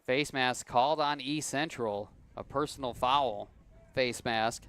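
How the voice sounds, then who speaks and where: A man doing play-by-play for a high school football game, calm and unhurried, his voice a bit worn and cracking. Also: smooth. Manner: calm, unhurried play calling with easy laughs. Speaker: play-by-play announcer.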